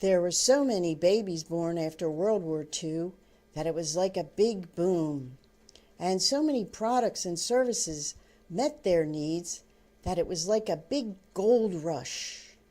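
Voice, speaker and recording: An older woman reading her own book aloud as storybook narration, in deliberate, halting phrases. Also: on the low side, expressive, dark tone.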